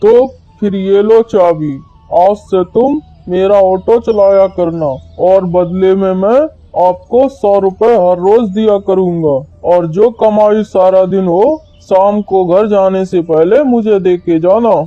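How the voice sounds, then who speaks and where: A greedy crow boss from a kids' cartoon, played by a man, pitched high for a male. He gives orders slowly and bossily. The recording is dry and a little dull. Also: dark tone, no room sound. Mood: businesslike. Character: greedy, wicked crow auto-rickshaw owner, male uncle. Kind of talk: Hindi children's cartoon dialogue.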